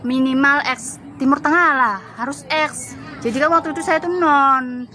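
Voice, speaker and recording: Young javanese woman chatting, high bright voice, laughs a lot, bit of hiss behind. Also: moderate pace. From Java, Indonesia. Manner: lively storytelling, laughs often. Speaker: former migrant domestic worker. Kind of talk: casual chat.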